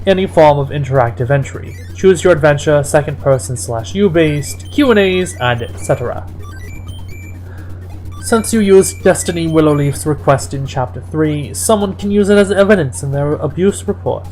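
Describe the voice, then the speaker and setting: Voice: medium pitch, animated, normal speed, a little mellow, soft background music. A person reading fan fiction and its reviews aloud in a mocking dramatic style, breaking off into exasperated asides.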